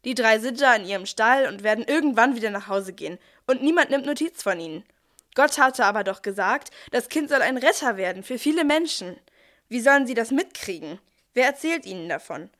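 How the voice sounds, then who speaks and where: A woman playing a brisk, managing archangel who narrates a nativity audio play. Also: on the high side, lively intonation, fairly bright tone. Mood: pragmatic, pondering.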